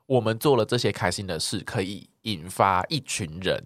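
Low-voiced Taiwanese man with swooping intonation. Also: lively intonation, normal speed, a bit bright, dry recording, studio quality. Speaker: podcast co-host. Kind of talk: casual chat.

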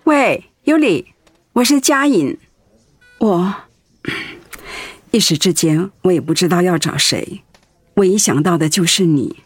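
An actress playing a gentle, anxious wife who cares for her sick husband in a Mandarin radio drama. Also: on the low side, animated, measured pace, pauses often, breathy edge, no echo. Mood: hesitant, relieved. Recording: music under the voice.

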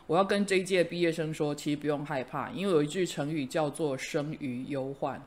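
Middle-aged male teacher-host, slightly higher voice, earnest pep-talk manner. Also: a little fast. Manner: earnest, encouraging, fond of idioms. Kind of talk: casual chat.

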